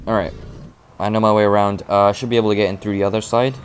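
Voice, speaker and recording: A man's voice: fairly low pitch, flat delivery, rapid-fire, soft background music. A man giving casual let's play commentary, rambling as he plays and losing his voice. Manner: rambling, talks through his moves, restarts often. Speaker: gameplay commentator.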